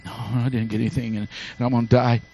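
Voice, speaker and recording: A male preacher voicing Esau, a big, tough grown hunter, in a pleading, strained, high-pitched voice. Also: level tone, fast talker, a crowd in the background, lightly acted. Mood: starving.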